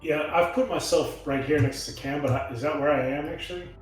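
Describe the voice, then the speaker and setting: A man's voice: a little high, fairly quick. A man talking casually as a player in a tabletop roleplaying game. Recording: very echoey room, faint music.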